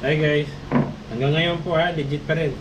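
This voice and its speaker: A man's voice: fairly high pitch, steady tone, moderate pace. A Filipino man talking in a casual, conversational way. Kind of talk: casual chat. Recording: loud vehicle noise around the voice.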